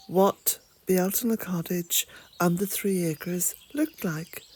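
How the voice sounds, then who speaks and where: An Irish woman narrating a gentle garden vlog in a soft, reflective way, sometimes dropping almost to a whisper. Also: medium pitch, slightly rough. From Ireland. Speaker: vlogger. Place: outdoors in a woodland garden, birds nearby.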